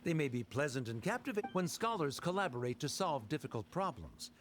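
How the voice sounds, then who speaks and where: Coldly formal, condescending scholar. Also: male voice, fairly high pitch, sing-song, fairly quick. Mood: cold lecturing. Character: stern, aloof scholar father, coldly logical. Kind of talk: anime game voice acting.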